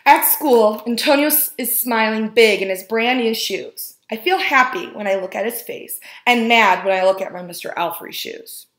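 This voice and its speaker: A woman reading a children's picture book aloud as a storyteller, expressively and with deliberate emphasis on key words. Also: a little high, a bit bright. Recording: roomy, echoing indoor sound.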